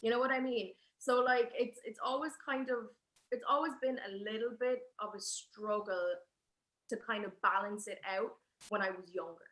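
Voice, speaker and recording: Bright-voiced young Irish woman on video call. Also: on the high side, moderate pace. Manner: expressive, chatty, laughs easily. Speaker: poet and guest. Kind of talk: spoken word poetry and conversation. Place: living room at home. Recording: echoey room over video call.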